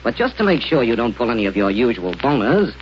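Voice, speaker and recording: A man's voice: mid-range voice, sing-song, fast, close to an everyday voice. An actor playing a scheming, scornful villain in a 1930s radio drama, bossing his partner around. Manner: bossy and scornful. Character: scheming villain space pirate, adult man, sneering. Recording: dull lo-fi old radio recording with hiss.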